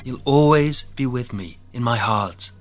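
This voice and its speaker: A man's voice: medium pitch, lively intonation, unhurried, faint music behind, natural voice. An actor playing a weary, distressed but loving husband and father in an audio play. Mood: tender, sorrowful. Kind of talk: dramatic audio play acting.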